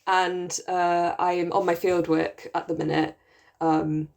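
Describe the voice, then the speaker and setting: A woman's voice: on the low side, flat delivery, slow, vocal fry, darkish tone. A young woman from Wales with a Welsh accent, chatting on a podcast about her research with lots of ums and likes. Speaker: podcast co-host, PhD researcher. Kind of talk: casual chat.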